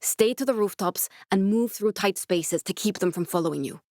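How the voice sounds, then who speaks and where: Game role: guarded, earnest woman scientist played by an actress, medium pitch, naturalistic measured delivery, studio-dry sound. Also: a little mellow, no room sound, natural voice. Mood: urgent, cautioning. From born in Norway, raised in Glasgow (character). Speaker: video game character voice. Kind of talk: naturalistic video game dialogue acting.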